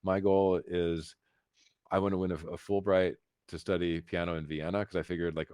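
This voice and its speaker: An American man telling his story casually as an interview guest, halting and repeating words with emphatic asides. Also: low-pitched, flat delivery, a little mellow, smooth, dry recording, studio quality. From United States, Philadelphia. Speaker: interview guest, concert pianist.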